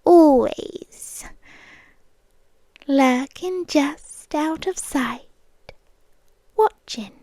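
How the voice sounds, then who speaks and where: Slow, high-voiced British vampire girlfriend, played by a woman. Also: mellow, no room sound. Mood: possessive, menacing. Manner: breathy, drawn-out, often dropping to a whisper. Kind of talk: intimate ASMR roleplay. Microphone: close ASMR microphone.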